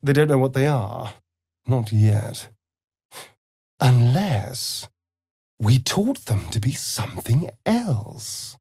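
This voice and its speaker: An actor voicing a vain, sardonic vampire elf rogue in a video game, with an arch, drawling, theatrical delivery. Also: male voice, medium pitch, lively intonation, slow, pauses often, dark tone, slightly rough, dry recording, studio quality, exaggerated voice. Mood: measured, serious. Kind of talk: video game character voice lines.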